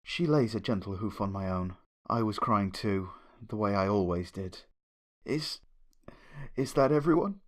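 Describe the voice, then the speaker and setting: A man's voice: low voice, moderate pace, a bit bright. A man reading a story aloud as a dramatic, scripted first-person narration. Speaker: fan fiction reader, narrator.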